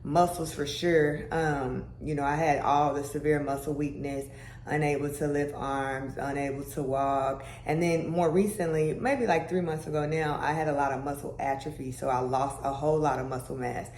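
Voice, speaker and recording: A young adult woman with a deeper voice, talking casually to her viewers in a chatty vlog manner. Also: steady tone, moderate pace, a little mellow. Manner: chatty, conversational, with "y'all" and "baby" asides. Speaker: vlogger. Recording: echoey room with faint background hum.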